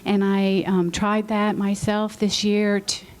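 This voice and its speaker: A woman in the audience asking the panel a heartfelt question, apologetic and loud-voiced. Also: mid-range voice, flat delivery, takes it slowly. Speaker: audience member. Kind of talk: question from the floor. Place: conference session room.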